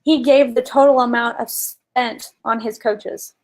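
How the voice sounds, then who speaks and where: A woman's voice: on the high side, steady tone, a little slow, darkish tone. A woman co-hosting a casual business coaching chat, talking informally and emphatically and explaining with examples. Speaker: co-host and marketing coach.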